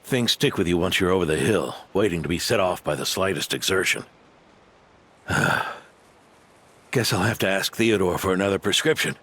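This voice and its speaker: An actor playing an aging, modest, grandfatherly knight with a weathered voice and measured delivery in a video game. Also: deep voice, expressive, fairly bright tone, slightly rough, exaggerated voice. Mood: weary, reflective.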